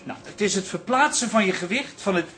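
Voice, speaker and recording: A coy, flirtatious dance teacher in a radio play. His voice is high and very melodic, animated and playfully bossy. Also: brisk, over music. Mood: agreeable. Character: playful, flirtatious man giving dance instruction. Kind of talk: radio drama acting.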